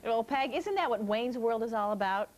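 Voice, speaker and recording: A woman's voice: a little high, animated, normal speed, darkish tone. A woman anchor in her thirties trading playful questions and banter with a reporter live. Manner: playful, teasing. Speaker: news anchor. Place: indoor TV news studio.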